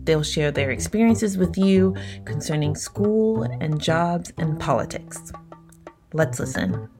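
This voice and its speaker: A woman's voice: sits low, slow. A woman hosting a podcast, speaking in an encouraging, scripted way over a music bed. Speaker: podcast host.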